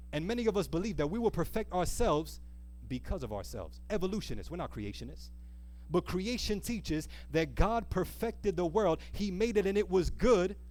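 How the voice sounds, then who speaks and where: A man preaching an earnest, exhorting sermon, pressing rhetorical questions and repeating key phrases with deliberate, slowed emphasis. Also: high-pitched, a little mellow. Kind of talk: sermon with a closing appeal.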